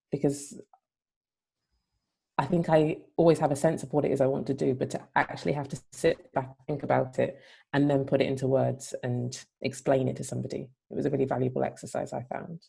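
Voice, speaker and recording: A woman's voice: a bass voice, level tone, a little fast, creaky, mellow, husky. A woman writer giving a considered, unscripted answer as a panelist.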